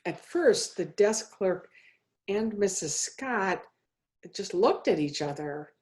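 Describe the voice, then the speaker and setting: A woman reading a children's story aloud in a deliberate, expressive way, as a guest minister. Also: medium pitch. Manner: slow, deliberate, expressive phrasing. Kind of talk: storybook read-aloud for all ages.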